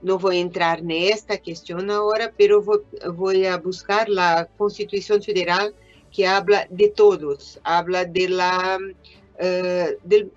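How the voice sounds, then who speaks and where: Older Brazilian woman, Portuguese accent, halting conference talk over a webcam, muffled lo-fi audio with faint music under it. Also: on the low side, steady tone, dry recording. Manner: hesitant, many pauses and fillers, mixes Portuguese words. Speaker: invited speaker, educator. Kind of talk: conference presentation. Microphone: webcam on video call. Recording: dull lo-fi video call audio.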